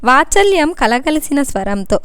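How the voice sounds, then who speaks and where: A woman's voice: high-pitched, big pitch swings, normal speed, fairly bright tone, no room sound. A woman reading a scripted story as its narrator.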